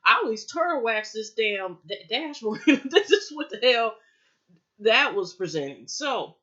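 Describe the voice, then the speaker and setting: A woman's voice: a little high, lively intonation, unhurried, sharp tone, dull audio. A woman recapping a TV episode in a loose, rambling way, with lots of fillers and restarts. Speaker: TV show reviewer.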